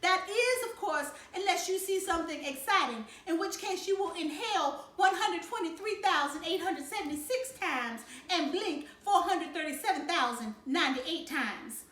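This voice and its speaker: A woman voicing a father who mimics a fussy, precise lady in a high Southern accent. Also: lively intonation, moderate pace, hardly any pauses, a bit bright. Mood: mock pedantic. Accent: put-on Southern US. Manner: high, emphatic, pedantically precise. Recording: roomy, distant mic, faint noise.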